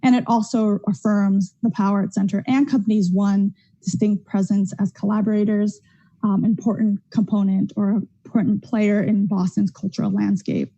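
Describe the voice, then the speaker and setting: Flat-toned woman on a dull video call. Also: medium pitch, moderate pace, no room sound. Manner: steady, careful delivery with frequent "um" fillers. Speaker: arts center director. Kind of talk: prepared remarks on a video call. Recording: dull lo-fi dry sound.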